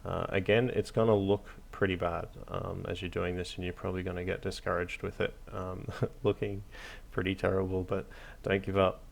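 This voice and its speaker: A man hosting a hobby tutorial, narrating casually off the cuff in a hesitant, low-key, encouraging way. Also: flat delivery, normal speed, creaky, few pauses, a little hiss or hum. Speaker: hobby craft tutorial host.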